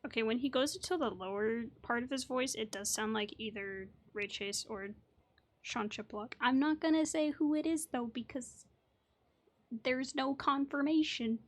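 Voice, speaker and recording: A woman's voice: a little high, normal speed. A woman hosting a gaming video, giving casual, musing commentary that wanders off on tangents. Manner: musing, digressive. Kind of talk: casual chatty playthrough commentary.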